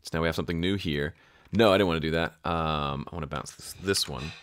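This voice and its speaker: A man giving a casual, hands-on software tutorial, thinking aloud and improvising as he goes. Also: low voice, dry recording, clean studio sound. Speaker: music production tutorial host.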